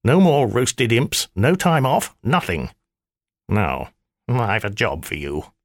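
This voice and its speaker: A man's voice: medium pitch, expressive, slow pace, no echo. A man voicing an irritable old male magician, stern and sardonic, in a clipped, scolding manner for an audiobook. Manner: clipped, scolding, dryly sarcastic. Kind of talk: audiobook character voice.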